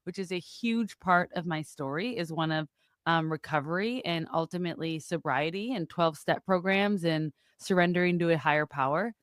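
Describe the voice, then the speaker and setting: Chatty Wisconsin-accented woman, smooth voice. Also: on the low side, normal speed, no room sound, studio quality. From Wisconsin, USA. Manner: chatty, frequent "like" and "um" fillers. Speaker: podcast guest. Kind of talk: candid conversational storytelling. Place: remote studio.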